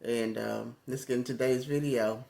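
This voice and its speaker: A man with a raspy, gravelly voice talks casually into his phone as a content creator. He rambles in a relaxed way, full of fillers, at an everyday middle pitch. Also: normal speed. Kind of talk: casual talk to camera.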